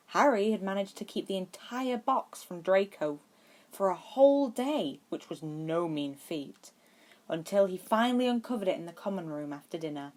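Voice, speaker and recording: A woman reading a story aloud as scripted narration. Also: lowish voice. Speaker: fan fiction podfic reader.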